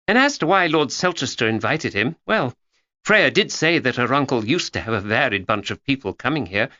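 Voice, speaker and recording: A man's voice: medium pitch, pushed and bright, no room sound, highs cut off, voice pushed up. A man voicing a chattering, know-it-all schoolgirl who lectures and teases. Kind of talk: audiobook character voice.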